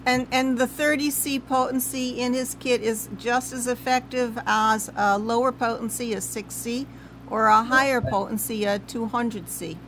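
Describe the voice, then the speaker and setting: A woman hosts a live interview, asking friendly, encouraging questions. Her voice sits a little higher than average. Faint background noise runs under the video call. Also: fairly even intonation, moderate pace, a bit bright, low-quality recording. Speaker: host.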